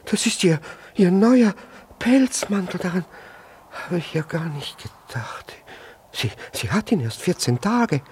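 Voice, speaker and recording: A man's voice: high voice, big pitch swings, measured pace, a little mellow, slight background noise. A male actor in a German radio play, playing a flustered, jealous, nervously polite middle-aged husband who stammers and repeats himself. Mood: suspicious, startled. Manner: stammering, hesitant, repeats words, fussily polite.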